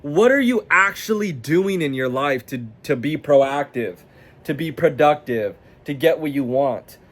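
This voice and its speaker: A man's voice: fairly high pitch, lively intonation, a little slow. A nineteen-year-old man ranting to camera as a self-improvement vlogger, blunt, profane and confrontational with the viewer. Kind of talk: motivational rant to camera.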